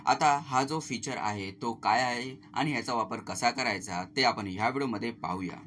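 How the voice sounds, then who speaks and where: A man's voice: mid-range voice, normal speed, fairly bright tone. A man narrates a step-by-step tech tutorial as a channel host, explaining things to viewers as friends. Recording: clearly noisy voice-over recording.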